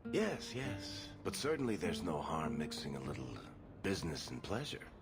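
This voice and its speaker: Roguish fantasy game sidekick: bass, gravelly, amused and easygoing, over music. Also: male voice, big pitch swings, normal speed, exaggerated voice. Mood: amused, coaxing. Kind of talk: video game voice acting.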